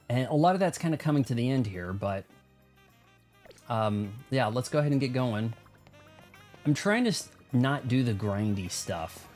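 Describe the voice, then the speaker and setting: A man's voice: mid-range voice, a little mellow. An American man hosting a video game livestream, talking casually in a rambling, conversational way. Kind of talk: casual gaming stream chat and commentary.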